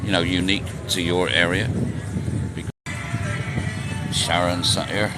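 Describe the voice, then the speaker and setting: A man's voice: low-pitched, little pitch movement, takes it slowly, loud music behind the voice. A man in his sixties chatting casually on a live stream as he rides through city streets, joking and answering viewer questions. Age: 60s. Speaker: vlogger. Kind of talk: casual live-stream chat while riding. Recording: noisy outdoor street recording. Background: traffic and street noise.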